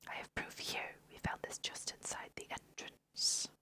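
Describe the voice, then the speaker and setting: A woman's voice: a little slow, pushed and bright. Girl doing a scared human trespasser from a fantasy game, all whispered, timid and pleading. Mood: nervous.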